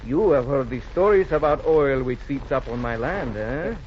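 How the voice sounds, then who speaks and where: An actor playing a warm, shrewd Spanish-Californian rancho owner in 1940s radio style, speaking expressive, courtly, halting English with a Spanish accent. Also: male voice, mid-range voice, lightly acted. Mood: sly, amused. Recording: dull, hissy old radio recording.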